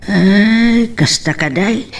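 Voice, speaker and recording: Slow-talking, folksy Ilocano uncle. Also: male voice, high-pitched, creaky, far from the mic, heavily acted. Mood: teasing, amused. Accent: Ilocano Filipino. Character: folksy, teasing older uncle. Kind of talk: radio drama acting.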